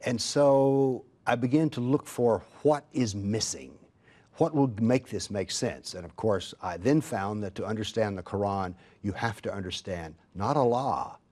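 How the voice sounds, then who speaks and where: A man speaking as a talk show guest, recounting his personal journey in a measured, reflective way. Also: fairly low pitch, expressive, a little mellow. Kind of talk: interview, personal testimony.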